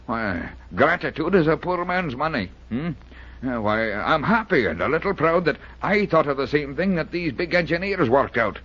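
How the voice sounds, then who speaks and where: Folksy old inventor, swooping voice. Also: male voice, a little high, big pitch swings, a bit bright. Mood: proud, contented. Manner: folksy, halting, chuckling. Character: kindly, hopeful older amateur inventor. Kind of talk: old-time radio drama acting. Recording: dull vintage radio recording.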